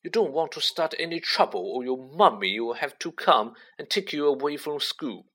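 A man's voice: on the high side, lively intonation, moderate pace, fairly bright tone, slightly rough, voice pushed up. A man voicing a spoiled, smug schoolboy bully with a scathing, mocking sneer, in a storybook narration.

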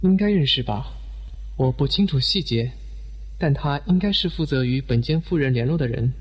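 A man playing a measured middle-aged brother of a late tycoon in an audio drama, explaining matter-of-factly. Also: mid-range voice, animated, slightly rough. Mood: uncertain. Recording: faint music behind.

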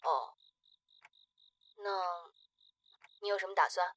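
A woman's voice: medium pitch, wildly swooping pitch, slow, a bit bright, heavily acted, rough recording. A woman acting an outspoken, pushy but caring young best friend in a modern audio drama. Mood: subdued, hesitant.

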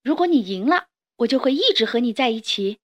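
A woman voicing a big male tyrannosaurus, gruff but tender, speaking softly and firmly. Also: a little high, expressive, a little fast. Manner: soft, gruff.

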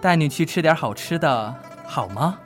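A man playing a gentle, grieving swordsman master in an amateur radio drama, speaking in a kindly, measured, coaxing way. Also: a little high, animated, faint music behind.

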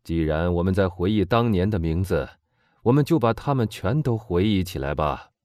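A man playing a cold, vengeful middle-aged nobleman who speaks with calm, bitter irony. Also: low voice, moderate pace, no room sound, studio quality.

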